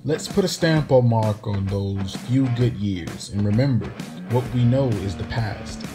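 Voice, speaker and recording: A man's voice: fairly low pitch, lively intonation, measured pace. Man performing spoken-word poetry over guitar music. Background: plucked guitar music.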